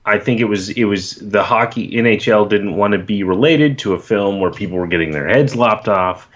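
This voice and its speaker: A man's voice: on the low side, normal speed, highs cut off. A man guesting on a podcast in casual chat, hesitant and repeating words as he thinks.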